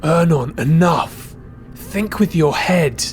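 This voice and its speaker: A man's voice: a little high, takes it slowly, faint music behind, voice pushed up. A man voicing a tense, sensible adventurer who speaks urgently through his teeth in a fantasy audiobook.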